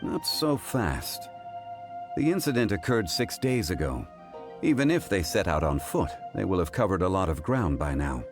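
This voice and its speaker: An actor voicing a calm, dignified and learned gentleman in a video game, speaking in a measured, formal way. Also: low-pitched, music in the background. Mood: composed.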